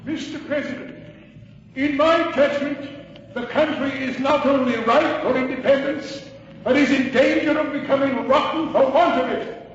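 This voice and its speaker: A man's voice: very high voice, moderate pace, slight background noise. An actor playing a fiery clergyman delegate, declaiming emphatically in 1940s radio drama style. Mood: fervent. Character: fiery reverend delegate backing independence. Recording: distant, muffled, echoing old radio hall.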